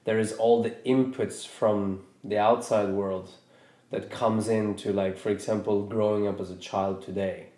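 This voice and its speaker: Low-voiced man from Norway, musing. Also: fairly even intonation, measured pace, dark tone, echoey room. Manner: thoughtful, hesitant with many restarts. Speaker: podcast host. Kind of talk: reflective casual conversation.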